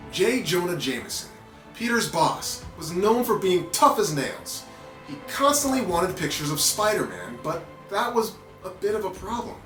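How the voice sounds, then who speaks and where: A man with a fairly high voice reads a story for children. His narration is animated and playful, recorded in an echoey room with soft music behind it. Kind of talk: animated read-aloud narration for kids, with playful asides. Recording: roomy echo with background music.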